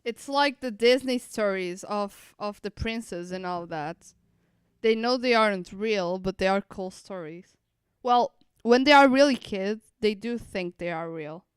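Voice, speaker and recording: Very clear-voiced woman streamer. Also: medium pitch, a little slow, no room sound, clean studio sound. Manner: reflective, repeats and rephrases points. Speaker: streamer reacting to a game. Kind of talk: casual live commentary.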